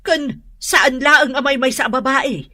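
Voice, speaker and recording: A woman playing a nosy, excitable middle-aged aunt in a radio drama, exclamatory, with an Ilocano Filipino accent. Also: high voice, a little slow, full character voice. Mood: scandalised. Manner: exclamatory, emphatic. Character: nosy, excitable meddling aunt, a computer-savvy teacher.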